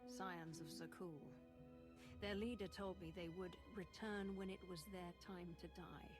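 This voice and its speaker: An actress playing a composed, thoughtful sci-fi heroine in a video game, with a measured and earnest delivery. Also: female voice, lowish voice, steady tone, natural voice. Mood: reflective. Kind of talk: video game cutscene acting. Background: music.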